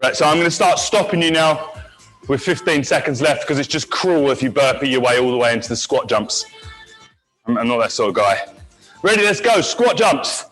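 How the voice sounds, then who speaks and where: A man working as a fitness instructor, leading a live high-intensity class with encouraging talk, countdowns and short barked cues. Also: expressive, normal speed, a bit bright. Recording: music playing behind the voice.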